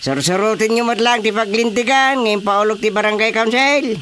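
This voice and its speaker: A man's voice: high-pitched, bright, forceful tone, slight background noise. A man with an Ilocano accent acting in a radio drama as a strict village chairman who lays down rules with authority. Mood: stern. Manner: authoritative, deliberate, instructing.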